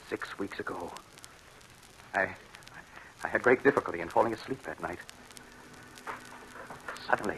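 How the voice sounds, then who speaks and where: A man's voice: fairly low pitch, bright, forceful tone, heavily acted, poor audio. An actor playing a haunted, fearful man in an old radio drama, melodramatic and intense, stammering under strain. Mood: haunted, dread. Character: haunted, fearful man tormented by a ghostly cat-woman. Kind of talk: 1940s radio drama acting. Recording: hissy old radio broadcast.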